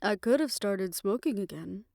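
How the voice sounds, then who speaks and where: A woman playing a weary, proud aging fixer talking softly and wryly to her lover in an intimate roleplay audio drama. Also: mid-range voice, lively intonation, moderate pace, vocal fry, no room sound, clean studio sound. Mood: wry, confiding.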